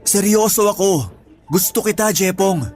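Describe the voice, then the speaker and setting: An earnest young Filipino man voiced by an actor in a radio drama, his voice on the high side. He pleads apologetically as a lovestruck friend, with music softly underneath. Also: moderate pace, lightly acted. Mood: earnest, anxious. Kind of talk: Tagalog radio drama acting.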